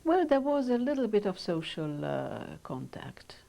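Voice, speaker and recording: An older woman whose first language is Hungarian, speaking in measured, reflective sentences with pauses as she is interviewed about her childhood. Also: medium pitch, sing-song, creaky, mellow. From Romania. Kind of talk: oral history interview.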